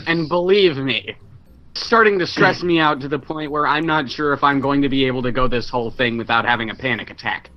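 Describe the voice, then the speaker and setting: A man's voice: mid-range voice, brisk. A man playing a tabletop game, chatting casually and bluntly with some swearing over a voice call. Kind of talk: casual group game chat. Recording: dull lo-fi voice call.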